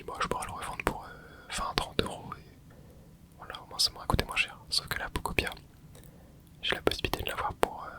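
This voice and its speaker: Soft whispering gamer thinking aloud. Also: extremely high pitch. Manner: casual, hesitant, frequent filler words. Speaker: gaming channel host. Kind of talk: whispered ASMR gameplay commentary.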